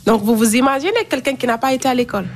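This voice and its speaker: A woman's voice: sits high, racing. A young woman being interviewed, giving a casual, personal account of her own life. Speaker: interviewee in a radio documentary.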